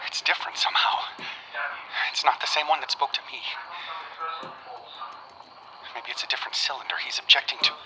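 A man playing a bewildered, frightened investigator in a radio drama, breathy and halting. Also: medium pitch, steady tone, background noise, natural voice. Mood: hushed, fearful. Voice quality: breathy, often whispering. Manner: hesitant, halting.

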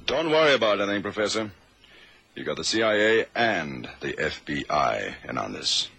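An actor playing a brisk, assured intelligence official in a radio drama, with a raspy voice. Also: male voice, fairly low pitch, very animated, sharp tone, slight background noise, heavily acted. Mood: reassuring.

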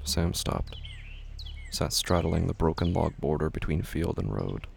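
Deep, very gravelly hushed male narrator. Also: lively intonation. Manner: hushed, halting, often drops to whispers. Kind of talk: scripted horror audio drama narration. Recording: clear background noise and ambience.

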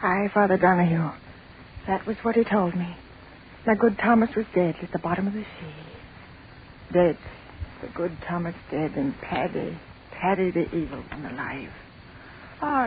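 A woman's voice: medium pitch, lively intonation, normal speed, lightly acted. A woman playing an anguished, devout old Irish widow in a radio drama, her voice tremulous and pleading. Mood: grieving, bitter. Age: older. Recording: tinny, noisy old radio recording.

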